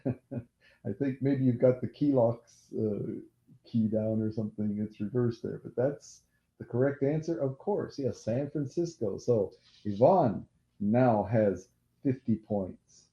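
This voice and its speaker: A man hosting a casual live-stream quiz game who has a loud voice, repeats each question and apologizes during asides. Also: medium pitch. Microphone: laptop mic. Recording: echoey room, dull lo-fi laptop audio.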